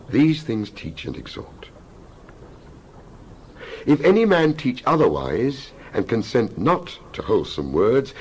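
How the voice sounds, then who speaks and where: A man's voice: on the low side, darkish tone, husky, rough recording. A man giving a dramatized scripture reading with solemn, emphatic, oratorical delivery. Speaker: Bible reader.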